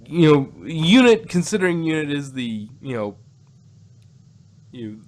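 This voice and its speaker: A man's voice: a little high, big pitch swings, measured pace. A man chatting casually as co-host of a review show, rambling and repeating his phrases as he goes. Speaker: podcast co-host reviewing audio dramas. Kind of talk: casual discussion. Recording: noticeable background noise.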